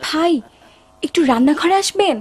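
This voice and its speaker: A woman's voice: very high voice, lively intonation, normal speed. A woman playing a clever, brave young maid in a cartoon, earnest and resolute. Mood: urgent, suspicious. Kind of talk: animated cartoon dub acting.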